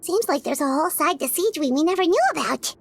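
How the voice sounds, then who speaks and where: A woman voicing a tiny, childlike female fairy companion in a video game, excitable, blunt and nosy. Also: high voice, quick pace, vocal fry. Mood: curious, frank. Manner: third-person self-reference, exclamatory, blunt. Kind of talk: English video game voice acting.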